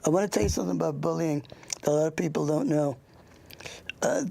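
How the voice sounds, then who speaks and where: Older host, raspy and gravelly, informal interview style, meandering and anecdotal. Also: mid-range voice, dark tone. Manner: rambling, halting, anecdotal. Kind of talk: informal interview with a child guest.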